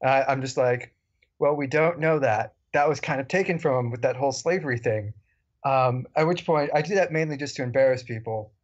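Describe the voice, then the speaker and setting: A man's voice: medium pitch, brisk. A man speaks in a mid-range voice as a podcast co-host. He is casual and anecdotal, with a wry touch. The recording is somewhat dull and lo-fi.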